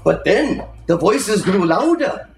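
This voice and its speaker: A man giving a theatrical, expressive literary reading, savouring the phrases as a storyteller. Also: high-pitched, slow pace, vocal fry. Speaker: reader, storyteller. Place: live reading in an indoor shop. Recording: echoey room with faint background noise.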